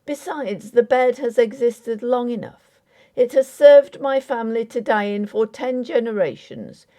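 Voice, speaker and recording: A woman voicing a resolute, haughty Victorian gentleman squire who speaks in a formal, declamatory way. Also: a little high, unhurried, voice pushed up, natural voice. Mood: indignant.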